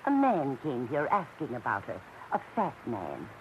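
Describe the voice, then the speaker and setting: A woman's voice: a bass voice, normal speed, full character voice. A chatty older landlady in a 1940s radio play, kindly and musing. The intonation swings expressively. The recording is dull and old, with faint noise. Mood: confiding. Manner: musing, hesitant, expressive talk. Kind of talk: 1940s radio drama acting.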